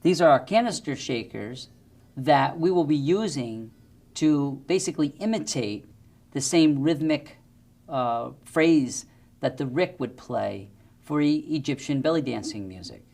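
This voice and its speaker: A middle-aged man explains things as a music instructor. His delivery is methodical and instructional, with a lively, varied pitch that moves up and down as he demonstrates. He is recorded indoors on a lapel mic. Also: measured pace, gravelly. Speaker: music teacher. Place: indoor studio with plain backdrop.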